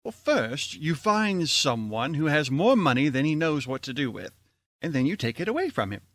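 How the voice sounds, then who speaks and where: Casting: actor as impatient college chairman, highish lively voice, brusque, radio comedy. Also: normal speed, dry recording, studio quality. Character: exasperated, tight-fisted college board chairman. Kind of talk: old-time radio comedy acting.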